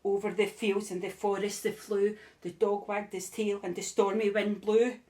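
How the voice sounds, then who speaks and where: A woman reading a rhyming picture book aloud in a rhythmic, expressive way. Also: mid-range voice, moderate pace. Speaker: storybook reader.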